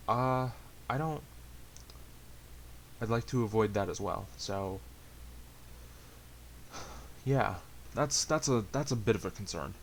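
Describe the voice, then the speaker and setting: A man chatting casually to viewers, hesitant and halting, with a self-deprecating, rambling way of talking. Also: fairly low pitch. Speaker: amateur story reader and channel host. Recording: hissy amateur home recording.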